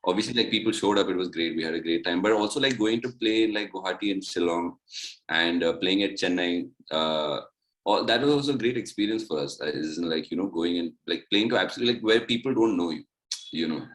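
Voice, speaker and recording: A man's voice: low voice, quick pace, poor audio. A young man from India, a musician guest in a casual interview, rambling with lots of "like" and "you know". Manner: relaxed, rambling, heavy use of "like, you know".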